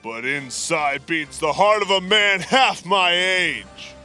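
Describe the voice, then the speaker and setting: Proud old game warrior, really slow, forceful and high. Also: male voice, animated, soft background music, full character voice. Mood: proud, indignant. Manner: hearty, boastful. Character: boastful bald, grizzled old warrior, young at heart. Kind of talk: game character voice acting.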